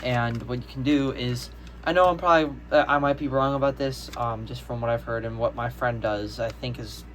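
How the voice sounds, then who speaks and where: Young male hobbyist, casual rambling vlog delivery, mid-range pitch, some background noise. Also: fairly even intonation, brisk, a bit bright. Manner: rambling, easily sidetracked. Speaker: hobbyist video maker. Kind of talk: casual unscripted show-and-tell. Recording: noticeable background noise, amateur home video.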